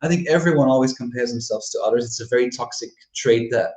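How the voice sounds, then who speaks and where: A man's voice: medium pitch, quick pace, darkish tone, reverberant, rough recording. A man from Flanders with a Flemish accent, a Dutch native speaker talking in English as a guest author, candid and reflective, often laughing. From Belgium (Flanders). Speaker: horror author, podcast guest. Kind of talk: casual interview chat.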